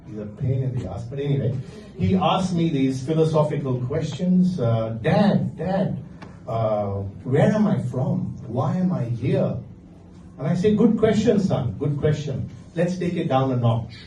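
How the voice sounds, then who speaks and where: A middle-aged man from India with an Indian accent, performing a stand-up comedy set with a dry, deadpan delivery. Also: a little high, measured pace, slight background noise. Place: comedy venue with live audience. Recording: echoey room, distant mic, faint ambience.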